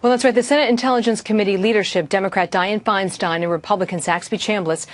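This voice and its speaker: An American woman reads a scripted news report as a correspondent. Her voice sits at an average pitch and is recorded very dry and close, with no room sound. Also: fairly even intonation, fast, no room sound.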